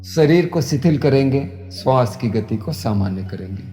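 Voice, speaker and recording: A man, a yoga instructor leading guided meditation in measured, repetitive instructions. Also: mid-range voice, lively intonation, mellow. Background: new-age music.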